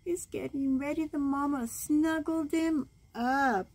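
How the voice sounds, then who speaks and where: A woman in her sixties reading a bedtime storybook aloud as a grandmother, gentle and expressive, cooing over the pictures. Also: high-pitched, slow. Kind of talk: read-aloud bedtime story with side comments. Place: small room at home, indoors.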